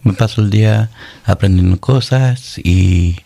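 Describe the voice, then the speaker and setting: A man's voice: fairly low pitch, a little slow, gravelly, dark tone. A man from Chicago, a guest musician, speaking in a laid-back, modest way. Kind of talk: casual conversation.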